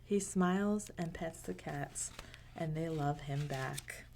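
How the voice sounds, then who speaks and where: A woman reading a picture book aloud to children in a gentle, unhurried way, with casual asides. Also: low voice, vocal fry, slight background noise. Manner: gentle, unhurried, pausing between short phrases.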